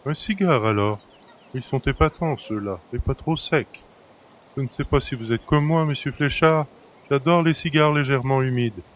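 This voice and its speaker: A man's voice: medium pitch, normal speed, close to an everyday voice. A man playing a cheeky, familiar manservant in an audio drama, chatty and mocking. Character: cheeky, familiar manservant who helps himself to master's luxuries. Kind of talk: French audio drama acting.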